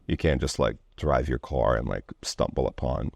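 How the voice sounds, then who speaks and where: A man's voice: extremely low pitch, moderate pace, creaky, darkish tone, slightly rough, no room sound. A man from Lebanon speaking as an interview guest in a casual, rambling way, full of filler words like "like" and "you know".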